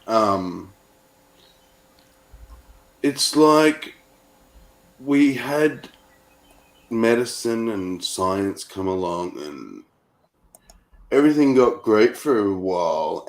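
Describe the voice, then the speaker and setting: Slow, low-voiced man. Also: a little mellow. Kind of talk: casual, thoughtful discussion.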